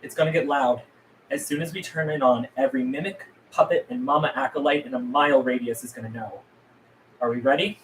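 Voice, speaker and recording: A man's voice: medium pitch, steady tone, fairly quick, a little hiss or hum, natural voice, rough recording. A man reading the part of a defiant, shrewd survivor who leads his group, speaking in terse, blunt lines at a script table read. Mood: tense, urgent.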